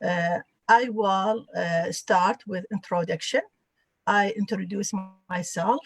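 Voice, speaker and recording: A woman from Iraq, an adult education instructor, hosting a roundtable and calling on guests politely, with halting speech and many fillers. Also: medium pitch, steady tone. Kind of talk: formal roundtable introductions.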